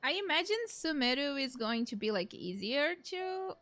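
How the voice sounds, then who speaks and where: Bright, high-voiced young woman gamer. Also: moderate pace, soft background music. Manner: giggly, rambling, expressive. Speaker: gaming streamer. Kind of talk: casual let's play commentary.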